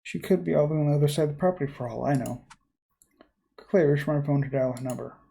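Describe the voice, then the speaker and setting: A man reading a first-person horror story aloud as a let's player, in a measured, theatrical way. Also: fairly high pitch, fairly even intonation, gravelly, mellow.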